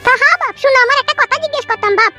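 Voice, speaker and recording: Super high squeaky bengali village mother-in-law, gushy and sneaky, cartoon comedy, talks crazy fast. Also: pushed and bright. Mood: fawning, probing. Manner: fawning, folksy, repetitive endearments. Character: scheming, gushing village mother-in-law, middle-aged woman. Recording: music behind the voice.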